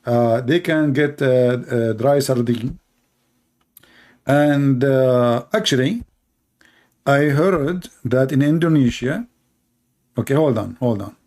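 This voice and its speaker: A man's voice: mid-range voice, slow pace, pauses often, a little mellow. A man, hosting a commentary show and mocking video clips in a sarcastic, rambling way with many fillers.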